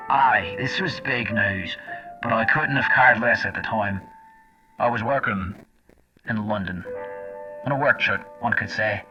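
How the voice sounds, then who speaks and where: A man's voice: fairly low pitch, measured pace, pauses often, recorded from a distance, natural voice. A man playing a wry, foul-mouthed intelligence agent, telling his story in a sardonic, conversational way in an audio drama. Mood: wry, confiding. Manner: sardonic, conversational, addresses the listener directly. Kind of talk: first-person audio drama narration and dialogue. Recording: dull lo-fi old-radio sound under music.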